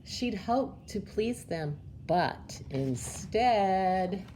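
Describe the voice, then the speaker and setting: A woman presenting story time for young children, reading a picture book aloud in a measured, expressive way. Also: medium pitch, a little hiss or hum.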